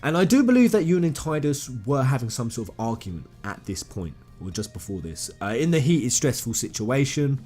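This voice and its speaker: Expressive man retelling a story over music. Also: mid-range voice, brisk, hardly any pauses. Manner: enthusiastic, emphatic, repeats phrases for stress. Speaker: video game commentator. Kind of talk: informal video essay retelling a game novella's story to viewers. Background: background music.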